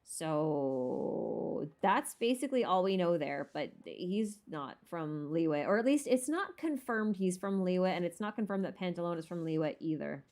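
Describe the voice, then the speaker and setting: A woman's voice: lowish voice, expressive, a little slow, darkish tone. A woman livestream host chatting casually about a game, thinking aloud in an informal way. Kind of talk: casual chat, game lore rambling. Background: background music.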